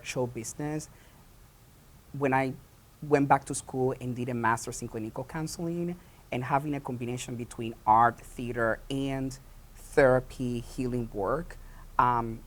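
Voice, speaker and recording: A man from Puerto Rico, a theatre founder and director, answering interview questions in a halting way with many pauses and restarts. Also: medium pitch, steady tone, a bit bright.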